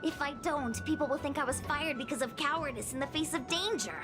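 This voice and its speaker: An actress playing a plucky young fortune-teller, a cheeky but earnest helper, chatty and expressive in video game style. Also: female voice, high-pitched, fairly quick, over music, close to an everyday voice. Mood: resolute.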